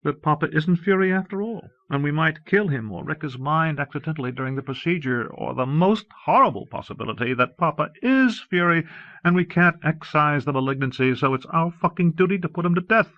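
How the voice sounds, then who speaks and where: A man's voice: on the high side, expressive, brisk, no room sound, voice pushed up, close to an everyday voice. Man acting a despairing, burnt-out soul, muffled tape. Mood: dread. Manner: strained, bleak, pleading. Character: weary, despairing family member losing faith. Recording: muffled old cassette audiobook transfer.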